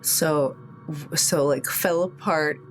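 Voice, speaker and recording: A woman playing a tabletop game, asking questions casually and haltingly as she thinks aloud. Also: deep voice, poor audio. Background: background music.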